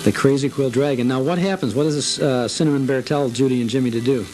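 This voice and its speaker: A man with a mid-pitched voice hosts an informal guided tour. He talks conversationally and playfully, prompting his guest with short questions and checking for agreement. Music plays clearly behind him. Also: quick pace, darkish tone. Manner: conversational, playfully prompting, often seeking confirmation. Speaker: host interviewer.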